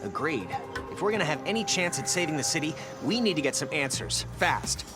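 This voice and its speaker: A man's voice: on the high side, very animated, a little fast, over music. A man voicing a brainy, earnest teenage mutant turtle in a video game, explaining things with urgency. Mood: urgent, earnest. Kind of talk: video game cartoon voice acting.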